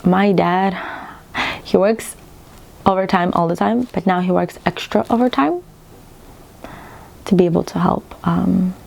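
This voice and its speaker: A woman in her thirties from Ukraine with a Ukrainian accent, chatting casually and reflectively to her vlog viewers, pausing mid-sentence. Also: fairly low pitch, darkish tone. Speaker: needlework vlogger. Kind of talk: casual personal vlog chat. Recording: clearly audible background room noise.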